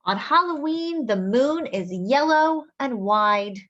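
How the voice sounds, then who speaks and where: Lady doing story time for little kids, high bright voice, really expressive, bit lo-fi. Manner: expressive, sing-song, drawn-out phrasing aimed at small children. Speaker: children's story-time host reading a picture book aloud. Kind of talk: read-aloud storytelling for young children, with asides and questions.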